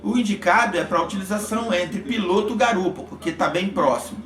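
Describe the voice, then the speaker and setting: A man with a Brazilian accent giving an informal explanation as a vlogger, in a halting, repetitive way with frequent check-in words. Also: sits high, fairly bright tone. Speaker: vlogger reviewing motorcycle communication gear. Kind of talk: informal explanatory product talk. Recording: echoey, roomy sound.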